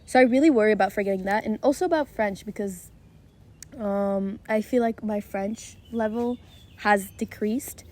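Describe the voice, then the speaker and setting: A woman's voice: medium pitch, normal speed, darkish tone. A young woman, in her teens, with an American accent, speaking hesitantly in a casual podcast chat. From Israel. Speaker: podcast co-host.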